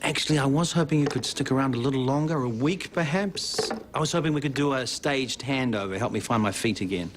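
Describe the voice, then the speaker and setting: A man's voice: mid-range voice, fairly quick, few pauses, noisy background, lightly acted. An actor playing a newly idealistic male hospital chief executive in a television soap, talking in an upbeat, jargon-heavy way. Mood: enthusiastic. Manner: breezy, jargon-loving, earnestly upbeat. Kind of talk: television soap drama acting.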